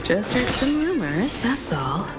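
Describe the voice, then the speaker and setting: A curious, cool-headed young woman traveler from a video game. She asks her questions slowly and calmly, with a lively rise and fall. Game music sits behind her voice. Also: a little high.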